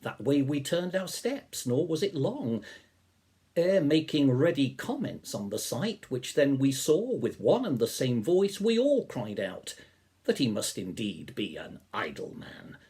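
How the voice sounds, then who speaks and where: A man in his seventies reading poetry aloud in a deliberate, expressive way, with a soft-edged, slightly husky voice. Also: mid-range voice. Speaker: poetry reader, reverend. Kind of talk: measured poetry reading with introduction. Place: small living room or study, indoors.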